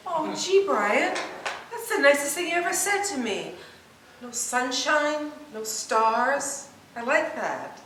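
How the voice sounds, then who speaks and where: Exasperated, reassuring wife female actor, bright stage delivery, picked up from afar in a reverberant room. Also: high-pitched, sing-song, slow, slight background noise, full character voice. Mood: touched. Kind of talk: stage play rehearsal. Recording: distant, very echoey rehearsal room.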